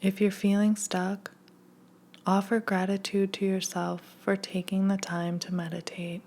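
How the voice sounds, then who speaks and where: A woman leading a scripted guided meditation in a gentle, hushed, soothing manner, leaving long pauses. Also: mid-range voice, level tone.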